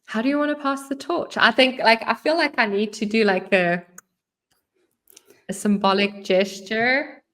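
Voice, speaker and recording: A woman's voice: fairly high pitch, unhurried, a bit bright. A South African woman hosting a podcast in casual chat, chatty and laughing now and then. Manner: chatty, laughs often, fillers. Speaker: podcast host.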